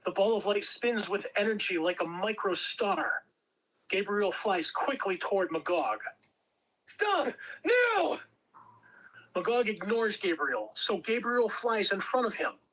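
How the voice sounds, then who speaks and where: A man's voice: high voice, lively intonation, moderate pace, a bit bright, poor audio. A man reading stage directions as narrator, in scripted dramatic narration. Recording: amateur home recording.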